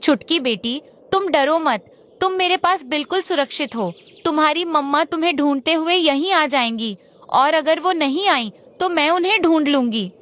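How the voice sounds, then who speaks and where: A woman as a high-voiced, kindly cartoon bird. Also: animated, moderate pace, a bit bright. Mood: reassuring. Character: kind, reassuring female bird friend and mother. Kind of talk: Hindi cartoon story dialogue.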